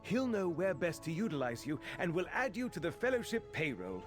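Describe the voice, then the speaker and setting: A man's voice: high-pitched, big pitch swings, brisk, soft background music. An actor playing an earnest fantasy game watchman who gives formal briefings to newcomers. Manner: formal, expository delivery. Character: earnest male fantasy islander watchman rallying help against a cult. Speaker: quest-giving non-player character in a fantasy game. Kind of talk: scripted game dialogue.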